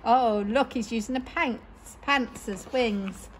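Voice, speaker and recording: A woman reading picture books aloud to children in an animated, dramatic way. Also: fairly high pitch, slow pace, noisy background. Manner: animated, dramatic, with exclamations and sound words. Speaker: children's storyteller at a library story time. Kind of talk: expressive picture-book read-aloud.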